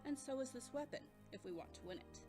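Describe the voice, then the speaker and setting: A woman's voice: high voice, animated, fast, music in the background. A woman playing a composed military scientist in an audio drama, formal and matter-of-fact in manner. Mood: firm, unapologetic.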